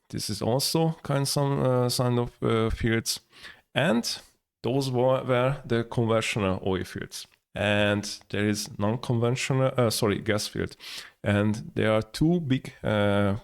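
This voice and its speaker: A man teaches from a map in a lowish voice. He speaks in halting bursts, filling pauses with "uh" and correcting himself as he goes. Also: gravelly, clear, resonant tone. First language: Hungarian. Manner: halting, many fillers and self-corrections. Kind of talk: explaining over a map.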